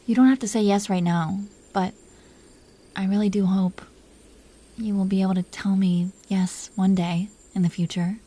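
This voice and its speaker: Woman acting as a dragon queen, romantic audio roleplay, dark mellow timbre, soft and breathy, intimate, affectionate, gently teasing, protective. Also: mid-range voice, steady tone, lightly acted. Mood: loving. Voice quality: soft, breathy, often dropping into whispers. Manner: affectionate, teasing, slow confiding delivery. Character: protective dragon queen, tender, teasing, smitten with her mate.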